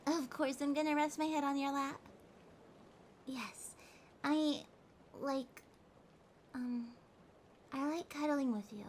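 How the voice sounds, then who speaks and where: A woman voicing a needy, haughty but affectionate young cat girl in a soft, drowsy, pouty ASMR roleplay. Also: high-pitched, a little hiss or hum. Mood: affectionate, sleepy. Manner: pouty, teasing, drowsy, speaking softly to a partner. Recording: steady rain sounds behind voice. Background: rain ambience.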